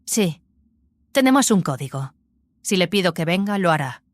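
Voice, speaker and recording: Una actriz interpreta a una cómplice preocupada e inquisitiva en una audioficción de ciencia ficción. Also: female voice, fairly low pitch, big pitch swings, moderate pace, dry recording. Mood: questioning. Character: Kelly, concerned, questioning female accomplice. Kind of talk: science fiction audio drama dialogue.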